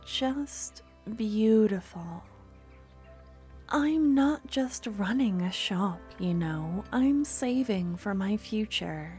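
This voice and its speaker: A woman performs a sweet, possessive young woman merchant in an ASMR audio roleplay. Her voice is mellow and unhurried, soft and close. She often sinks into a hushed whisper. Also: mid-range voice, expressive, faint music behind. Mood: soft, admiring. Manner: soft, intimate, slips into whispers.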